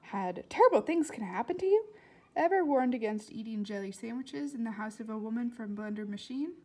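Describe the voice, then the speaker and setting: A woman's voice: on the high side, animated, darkish tone. Woman putting on an emphatic elderly foreign lady doctor. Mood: earnest. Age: older. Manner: emphatic, halting, oddly formal phrasing.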